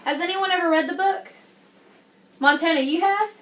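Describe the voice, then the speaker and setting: Female primary teacher, high-pitched, interactive read-aloud, encouraging and checking in often, recorded in an echoey room. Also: normal speed. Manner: encouraging, addresses the class as guys, frequent check-in questions. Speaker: teacher reading a picture book to young children. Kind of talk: interactive classroom read-aloud with questions and praise.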